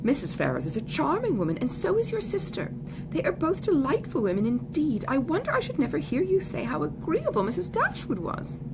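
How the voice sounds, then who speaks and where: Gushing young gentlewoman for an audiobook, played by a woman: high, animated, chatty, smugly sweet. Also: a little fast, background noise, full character voice. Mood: smug, insistent. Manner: effusive, emphatic, ungrammatical genteel chatter. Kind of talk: audiobook character dialogue.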